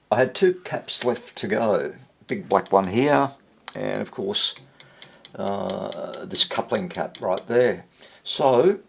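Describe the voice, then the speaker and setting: Older male hobbyist presenter, low creaky voice, casual and rambling, recorded at a home workbench with some background noise. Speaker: hobbyist radio repairer and presenter. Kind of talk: casual, unscripted workbench commentary. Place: small indoor home workshop.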